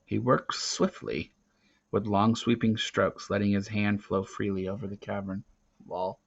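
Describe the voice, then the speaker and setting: A man narrates a storybook in a lowish voice at a very slow pace. His delivery is dramatic and expressive. The recording is somewhat dull and lo-fi. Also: creaky. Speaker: reader of a children's novel.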